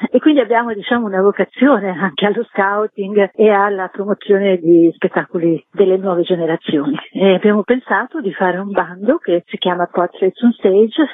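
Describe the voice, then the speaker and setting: A woman's voice: mid-range voice, breathy edge, no room sound. A woman explaining things as a guest in a promotional radio interview, often correcting herself as she goes. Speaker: festival co-artistic director, interview guest. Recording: narrowband phone-like line.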